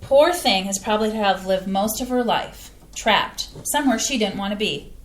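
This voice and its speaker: A woman's voice: medium pitch, moderate pace, slight background noise, natural voice. An actress reading a chatty, earnest middle-aged mother in a staged play reading. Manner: lively, earnest. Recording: echoey room.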